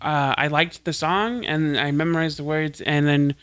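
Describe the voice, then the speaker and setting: A man hosting a podcast with a rather high, sharply bright voice. He talks casually but explains things earnestly and patiently, one step at a time. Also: fairly even intonation, moderate pace, clear, resonant tone. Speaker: podcast host.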